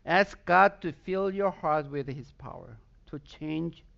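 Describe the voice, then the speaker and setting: A man's voice: on the high side, animated, slow, vocal fry, dull audio. A pastor from Southern Sweden, a man whose first language is Swedish, preaching a sermon with a Swedish accent and emphatic bursts of intensity.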